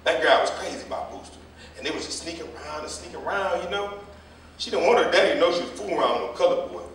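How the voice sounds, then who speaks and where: A man's voice: fairly high pitch, rapid-fire, fairly bright tone, lightly acted. A man playing a gossipy, combative older jitney driver in a stage play reading, telling stories in a folksy, rambling, colloquial way. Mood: storytelling. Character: gossipy, combative old male jitney driver, scolding the young. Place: theater or hall staged reading, far mic. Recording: echoey hall, distant mic, faint noise.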